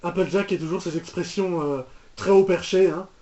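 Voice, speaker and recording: A man's voice: high voice, fairly even intonation, moderate pace, dark tone. A man giving casual, rambling, mock-exasperated commentary as he reacts to a cartoon, often repeating himself. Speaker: reaction video host. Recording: roomy, dull lo-fi microphone sound.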